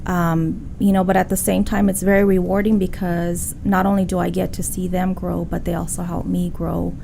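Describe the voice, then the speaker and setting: A woman's voice: lowish voice, level tone, normal speed, creaky, warm tone, a little hiss or hum. A woman whose first language is Spanish, a real estate broker speaking as an interview guest, full of "you know" fillers. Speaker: designated broker.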